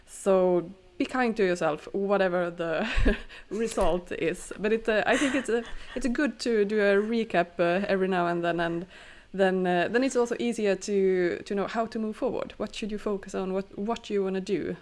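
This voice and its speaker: A woman's voice: medium pitch, normal speed, few pauses, a little mellow. Hesitant woman podcast co-host. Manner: hesitant, many fillers and restarts, laughs often. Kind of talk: casual chat.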